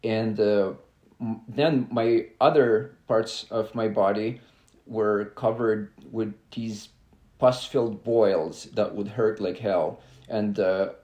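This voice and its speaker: A man from Belarus speaks in a somewhat low voice, pausing often with "uh" as he tells his story openly. His voice carries a noticeable roomy echo. He sounds like a young adult guest on a casual podcast. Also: fairly even intonation, muffled recording. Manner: halting, many "uh" fillers, earnest and open. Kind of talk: casual interview talk.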